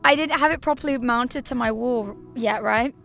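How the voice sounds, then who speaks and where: Raspy hoarse girl streamer rambling to chat, kinda high voice, lots of restarts. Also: moderate pace, fairly bright tone, soft background music. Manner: rambling, stop-start, repeats herself, laughs often. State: hoarse, slightly lost voice. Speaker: VTuber streamer. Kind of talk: casual live-stream chat to viewers.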